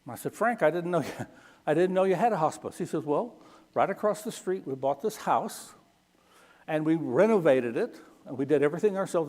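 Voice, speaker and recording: A man's voice: a little high, a little mellow. A middle-aged missionary man giving a folksy Sunday school talk, often checking in with "okay?". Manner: folksy, keeps asking "okay?". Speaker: missionary speaker. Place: church hall. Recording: long reverb of a large hall.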